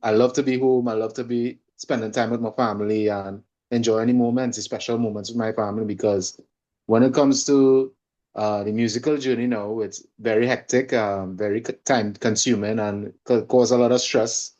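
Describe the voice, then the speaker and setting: A man with a Trinidadian accent speaks conversationally and reflectively as an interview guest, often pausing and repeating words. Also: medium pitch, steady tone, darkish tone. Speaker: guest, singer and holistic coach.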